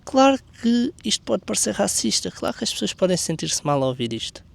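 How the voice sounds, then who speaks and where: A man's voice: high voice, big pitch swings, fairly quick, vocal fry. A man from Portugal with a European Portuguese accent, delivering an earnest opinion monologue full of rhetorical questions. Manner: earnest, argumentative. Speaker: commentary video creator.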